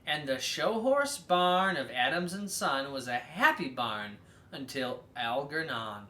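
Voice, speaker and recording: A person reading a children's story aloud as host, in a theatrical, drawn-out way with emphatic stresses. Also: medium pitch, animated, bright, forceful tone, reverberant.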